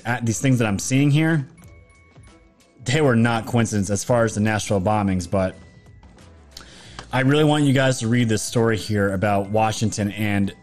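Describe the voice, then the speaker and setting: A man talks on a livestream in a voice of middling pitch that is going hoarse from a sore throat. He rambles and doubles back, restarting phrases and leaning hard on words for emphasis. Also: darkish tone. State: throat sore, losing his voice. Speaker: political livestream commentator. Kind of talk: casual livestream monologue.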